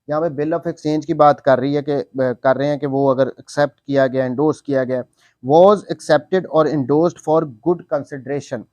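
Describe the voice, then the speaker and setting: A man with a Pakistani accent teaches in a somewhat high voice. He explains step by step in a didactic way, restating key points and dropping in English legal terms. The sound is dry and close, with little room in it. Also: darkish tone, dry recording, lo-fi sound. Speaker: law lecturer.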